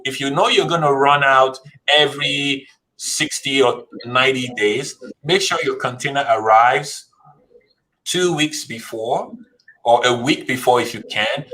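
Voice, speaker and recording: A man guesting on an interview show explains shipping practice step by step. He talks casually and often checks in with the listener. His voice sits at an ordinary pitch. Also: bright, forceful tone, low-quality recording. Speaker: guest, logistics business founder.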